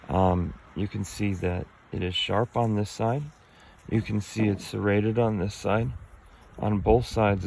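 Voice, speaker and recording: A man's voice: low-pitched, noisy background. A man who is a little sick and losing his voice, explaining stone artifacts in a hesitant, rambling way full of ums and uhs. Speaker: artifact collector explaining finds. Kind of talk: casual show-and-tell explanation.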